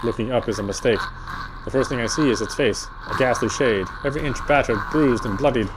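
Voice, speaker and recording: A man's voice: mid-range voice, fairly quick, background noise. A man reading a visual novel aloud as dramatic first-person narration, now and then stumbling over the text. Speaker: player reading a game aloud.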